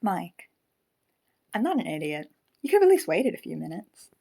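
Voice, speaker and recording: A woman's voice: fairly low pitch, sing-song, normal speed, creaky, mellow, breathy edge, exaggerated voice. A woman acting in an audio drama as a shrewd female robot companion, analytical and teasing. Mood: dry.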